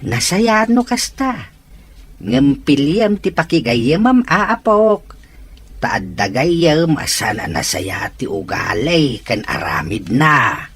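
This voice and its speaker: A woman playing a gentle old grandparent who gives patient advice in a serial audio drama. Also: deep voice, sing-song, slow pace, breathy edge, full character voice. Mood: gently advising. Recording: faint background noise.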